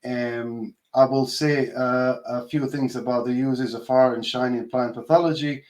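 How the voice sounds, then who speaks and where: A man with a Bosnian accent giving an informal research presentation over a video call, speaking in a hesitant, halting way with many filled pauses. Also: medium pitch, fairly even intonation, darkish tone. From Bosnia and Herzegovina. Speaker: postdoctoral researcher giving a talk. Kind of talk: informal online conference presentation.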